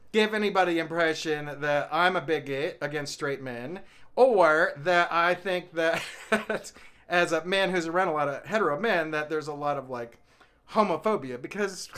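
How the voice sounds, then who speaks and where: Man from the american south, high bright voice, clear and smooth, chatty comic ramble, laughs a lot. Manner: chatty, laughs at his own jokes. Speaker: comedian vlogger.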